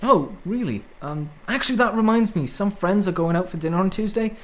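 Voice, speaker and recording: A man's voice: high-pitched, lively intonation, brisk. An actor playing an awkward, affectionate young man who drifts through life, in a naturalistic radio drama. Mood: hopeful, coaxing. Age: young adult.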